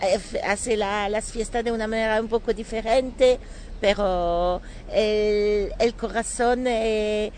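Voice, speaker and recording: A woman with a French accent gives casual interview answers at a slow pace. As a native French speaker, she halts and searches for words. Clear ambient noise runs behind her voice. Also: mid-range voice, steady tone, a little mellow. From France. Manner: halting, searching for words in non-native Spanish. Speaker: interview guest, entrepreneur and bit-part actress.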